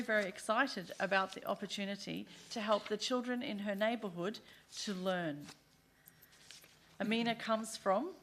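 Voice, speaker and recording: Middle-aged woman, seminar speaker at a podium mic, earnest and emphatic, mid-pitched. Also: a bit bright. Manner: earnest, emphatic, speaks as children in first person. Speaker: aid organization speaker. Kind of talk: seminar speech. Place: parliament seminar room.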